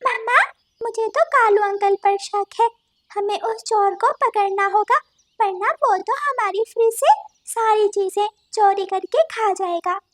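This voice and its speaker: A woman's voice: extremely high pitch, unhurried, dry recording, studio quality, voice pushed up. A woman voicing a sweet little girl bird in a cartoon, with a childlike, eager manner. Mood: earnest, suspicious.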